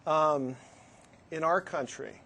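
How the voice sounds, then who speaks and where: Male panelist, slightly higher pitch, stammering restarts into firm statements. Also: slow, a bit bright, slight background noise. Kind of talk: studio panel discussion. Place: community TV studio.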